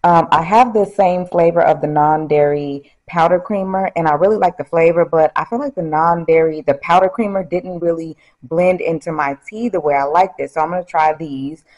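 A woman's voice: deep voice, normal speed, hardly any pauses, darkish tone, muffled recording. A woman in her thirties chatting casually to camera as a vlogger, talking to her viewers like a girlfriend, partly filmed in a parked car on a phone. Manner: chatty, addresses viewers as girl. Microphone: phone camera at arm's length.

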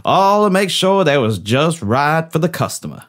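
A man performs a folksy Southern factory worker in a comedy sketch. He has a Southern US accent, a slightly high male voice and very animated intonation, with earnest, old-fashioned politeness. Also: moderate pace. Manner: polite, drawling folksy idioms. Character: folksy, courteous Southern toy-factory worker turned astronaut applicant. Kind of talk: comedy role-play read from a script.

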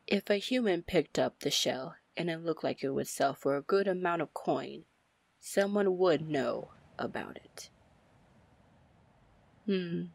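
A woman playing a confident, commanding, flirty female pirate captain in an ASMR fantasy roleplay, breathy and deliberate. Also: no echo, heavily acted. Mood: businesslike, resolute, then firm, protective. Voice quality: breathy, low, husky. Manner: slow, deliberate, smooth-talking. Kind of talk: ASMR roleplay acting, fantasy audio drama.

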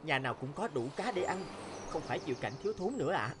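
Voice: medium pitch, animated, quick pace, background noise, natural voice. An actor playing a kind, eager, brawny young village fisherman in a cartoon dub. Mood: humble, cheerful. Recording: music bed behind the voice.

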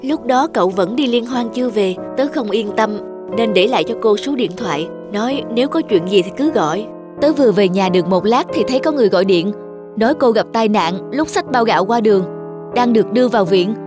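A woman playing a calm, loyal young friend in a cartoon, composed and explanatory. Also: on the high side, a little fast, lightly acted. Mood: calm, reassuring. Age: young adult. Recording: background guitar music over dialogue.

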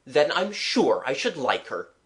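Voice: mid-range voice, big pitch swings, unhurried. A reader voicing a genial, well-travelled man who paints, with a dry, kindly, musing manner in a storybook. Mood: agreeable.

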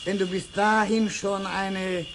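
Woman as a slow, thundering head witch. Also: mid-range voice, level tone, close to an everyday voice. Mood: stern, measured. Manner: thundering, deliberate, authoritative. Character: stern, thundering head witch, imperious old ruler. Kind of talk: vintage children's radio play acting. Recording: old radio play record with clear noise.

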